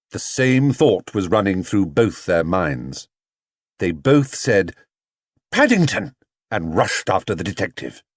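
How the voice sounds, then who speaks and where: A man narrating a children's storybook in an expressive, theatrical way. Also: fairly low pitch, dry recording, studio quality. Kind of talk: animated children's audiobook narration.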